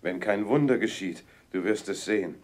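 Ein Mann spielt in einem Märchenhörspiel einen armen, weichherzigen Holzfäller und Vater, der schwer und klagend spricht. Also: male voice, on the low side, moderate pace, lightly acted. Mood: despairing. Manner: heavy, plaintive rhymed lines. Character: sorrowful, soft-hearted poor woodcutter father. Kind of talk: children's fairy-tale audio play.

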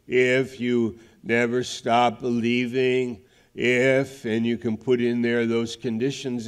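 A man's voice: medium pitch, smooth. A middle-aged male pastor preaching a sermon in a large church, explaining points carefully and often restarting a phrase. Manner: explanatory, restarts mid-phrase, gestures broadly. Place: large church sanctuary, indoors. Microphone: headset mic.

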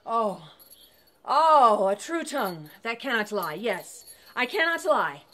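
A woman's voice: fairly high pitch, very animated, takes it slowly, a bit bright, exaggerated voice. A woman doing a weary young harper man. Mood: rueful.

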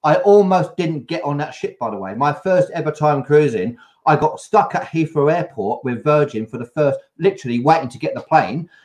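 Older man telling a lively story. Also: on the high side, normal speed, hardly any pauses. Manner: lively anecdotal storytelling with laughs. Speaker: host. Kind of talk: casual live chat, storytelling. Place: home room, video call.

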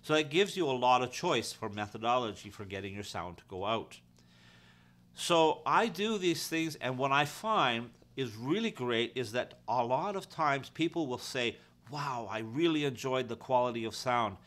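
A man's voice: medium pitch, animated, moderate pace, a bit bright. A man giving an informal, practical how-to explanation in a conversational way, as a technician teaching his trade. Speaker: audio-visual technician and instructor.

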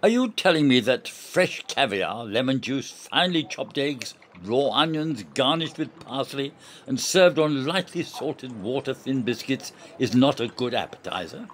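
A man's voice: medium pitch, expressive, measured pace, slight background noise, natural voice. A man acting in an amateur radio play as a proud, stubborn general, speaking in a formal, pedantic and emphatic way. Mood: proud, argumentative.

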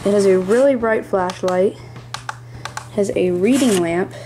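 A hobbyist giving a casual, rambling show-and-tell in a small room at home, speaking haltingly near a handheld camera. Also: mid-range voice, noisy background. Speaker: hobbyist presenting a radio collection. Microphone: handheld camera close by.

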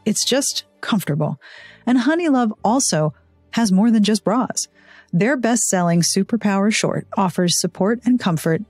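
A woman's voice: medium pitch, a little mellow, no echo. A woman hosting a podcast, speaking in a conversational, playful way. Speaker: podcast host. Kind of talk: ad reads and casual interview chat.

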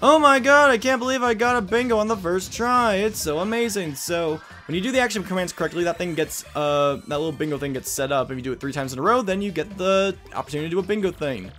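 Guy doing a lets play, jokey, voice kinda high and bouncy, game music behind him. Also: fast, few pauses, a bit bright. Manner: jokey, self-deprecating asides. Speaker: Let's Play host. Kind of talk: playful video game commentary.

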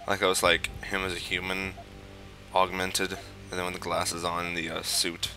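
A man's voice: sits low, completely flat delivery, moderate pace, over music. A man giving casual, offhand, profane let's play commentary on a video game. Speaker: gamer commentating a playthrough.

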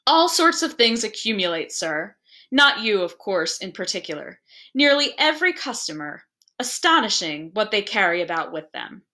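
A woman's voice: mid-range voice, sing-song, moderate pace, sharp tone. A woman voicing a suave, mocking male shopkeeper in a storybook reading, polite and slyly insinuating. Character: suave, mocking male magic-shop keeper.

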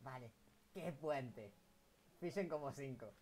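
A man's voice: mid-range voice, slow pace, a little mellow. A young man with a Galician accent, bantering and teasing casually while streaming a game. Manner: teasing, joking, mixes Galician and Spanish. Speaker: gaming streamer. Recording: clear background noise on voice chat.

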